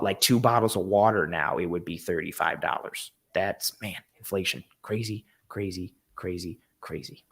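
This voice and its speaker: A man with a hoarse, raspy voice, worn out from a game the night before, hosts a casual live-stream football breakdown and rambles eagerly through viewer comments. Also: fairly low pitch. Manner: rambling, eager, with frequent ums and restarts. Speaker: host of a football show. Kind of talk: casual live-stream sports analysis, reading chat comments.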